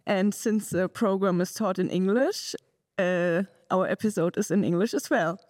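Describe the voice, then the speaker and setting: A woman hosting a podcast, reading a brisk intro and asking her guests clear questions. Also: mid-range voice, darkish tone, no room sound, studio quality. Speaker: podcast host.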